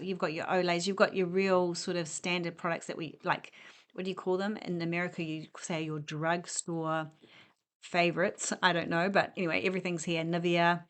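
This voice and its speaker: Chatty woman browsing a store. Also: lowish voice. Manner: chatty, rambling, self-deprecating. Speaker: shopping vlogger. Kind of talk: casual shop walk-through vlog. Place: inside a discount store.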